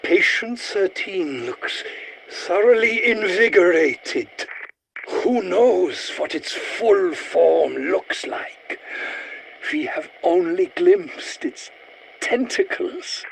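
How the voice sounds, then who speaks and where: An eccentric scientist for a video game. His voice sits fairly high and swings widely in pitch. He speaks slowly and precisely, with pompous, sardonic, squeamish theatricality. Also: sing-song, vocal fry, slight background noise, heavily acted, low-quality recording. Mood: alarmed, flustered. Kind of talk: video game character voice lines.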